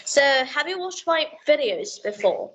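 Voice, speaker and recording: A woman's voice: sits high, lively intonation, measured pace, fairly bright tone, lo-fi sound, a little hiss or hum. A young teenage girl from Bangladesh, a Bengali speaker, chatting eagerly and wordily in English. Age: young teen, class seven student. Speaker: English learner and YouTuber. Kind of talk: casual video chat.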